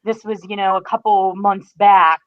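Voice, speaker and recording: A woman's voice: mid-range voice, level tone, moderate pace, smooth. Woman guest on a dull lo-fi connection. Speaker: podcast guest. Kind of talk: casual chat.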